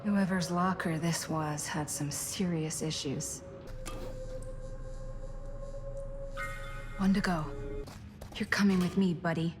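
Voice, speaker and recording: A woman playing a tough, skeptical, sharp-tongued character in a video game, in a naturalistic, sardonic way. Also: on the low side, fairly even intonation, measured pace, over music, natural voice. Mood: wary, muttering. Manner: blunt, sardonic.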